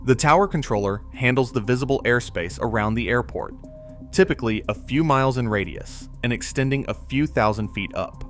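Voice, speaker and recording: A man giving scripted narration for an explainer video, in a measured, even, explanatory delivery. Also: medium pitch. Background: faint background music.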